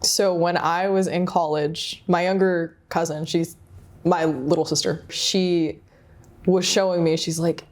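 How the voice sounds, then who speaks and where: A young adult woman interviewing casually as a co-creator, effusive and animated in the way she tells things. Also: on the low side, measured pace.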